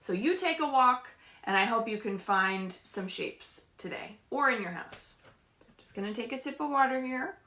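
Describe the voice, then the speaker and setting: A woman reading and chatting to young children as a story time leader, animated and often prompting them with questions. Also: fairly high pitch, normal speed, echoey room. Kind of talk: read-aloud picture book storytelling for young children. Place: indoors at home.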